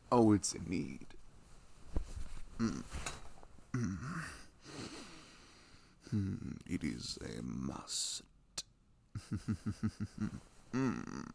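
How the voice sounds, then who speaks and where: A young man playing a sweet, playful boyfriend in an intimate ASMR roleplay, rambling softly and casually close to the microphone. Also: deep voice, very animated, extremely slow, gravelly, long pauses, a little hiss or hum, exaggerated voice. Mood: playful, relaxed, then tender, affectionate. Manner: soft, rambling, frequent chuckles and hums. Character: sweet, playful, affectionate boyfriend cuddling his partner.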